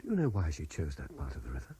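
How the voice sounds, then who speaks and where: An actor playing an earnest, insistent gentleman lawyer in a 1970s radio drama. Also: extremely low pitch, huge pitch swings, fast talker, husky, exaggerated voice. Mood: guarded. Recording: noisy old 1970s radio broadcast.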